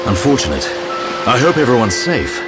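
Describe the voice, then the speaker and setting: A man plays a grown warrior in a game cutscene. He is a protective older-brother type, medium in pitch and extremely varied in intonation, with faint music underneath. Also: big pitch swings, moderate pace, lightly acted. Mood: calm, wry. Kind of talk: video game cutscene acting.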